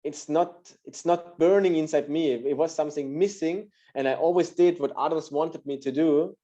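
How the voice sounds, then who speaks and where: A man's voice: a little high, steady tone, moderate pace, dark tone, highs cut off. A man from Austria with an Austrian German accent, a native German speaker, telling his story on a video call, hesitant with many fillers. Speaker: guest speaker.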